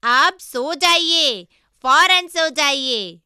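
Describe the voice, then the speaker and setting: Sleepy pig disciple acted by a woman, high and bright, drawn-out, practical, insistent storybook voice. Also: expressive, dry recording, studio quality, heavily acted. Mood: sleepy insistence.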